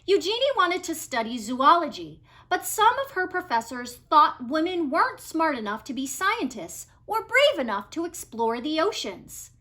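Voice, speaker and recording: High, animated woman reading a storybook. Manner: expressive storytelling with dramatic pauses and emphasis. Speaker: read-aloud storybook reader. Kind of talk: scripted children's picture-book narration.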